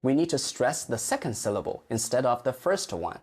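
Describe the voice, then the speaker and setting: A man with a Chinese accent, a native Chinese teacher explaining pronunciation in a lesson, carefully modeling words slowly and stretching syllables. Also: medium pitch, big pitch swings, breathy edge. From mainland China.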